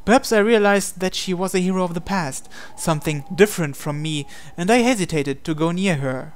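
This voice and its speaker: A man's voice: high voice, a little fast, slight background noise. A man hosting a gaming channel, reading visual novel narration aloud.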